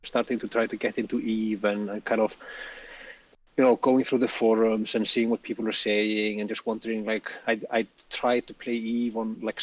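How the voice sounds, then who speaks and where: Flat-voiced man on distant, dull call audio. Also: lowish voice, husky. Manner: flat, hesitant, lots of fillers. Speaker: guest. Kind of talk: casual interview answers.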